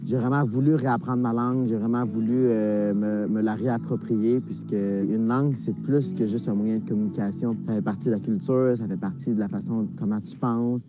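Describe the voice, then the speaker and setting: A man's voice: lowish voice, steady tone, normal speed, few pauses. A man from northern Quebec giving an earnest, reflective testimony in a documentary clip. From Nunavik, Quebec. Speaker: expedition participant. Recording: dull lo-fi video clip with guitar music.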